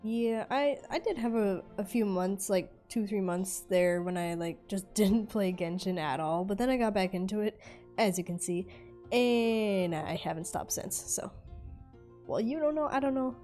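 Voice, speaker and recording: A woman game streamer chatting informally and haltingly on a livestream, often repeating herself. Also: medium pitch, mellow, soft background music. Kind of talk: casual livestream chat.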